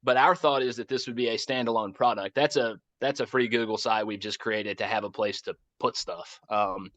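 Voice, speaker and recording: An American man answering questions informally on a conference call as a project lead, circling back to rephrase in a halting way. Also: on the low side, steady tone, fairly bright tone, no echo. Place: conference call, dry close sound. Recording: muffled, dull conference call audio.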